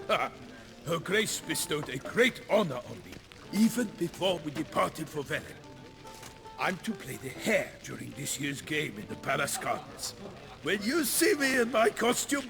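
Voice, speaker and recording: A pompous fantasy game knight with a fairly high, lively voice that swoops about. He is florid and theatrical yet good-natured, with a slight creak and music behind him. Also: measured pace, exaggerated voice. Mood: cheerful, proud. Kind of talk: video game cutscene acting.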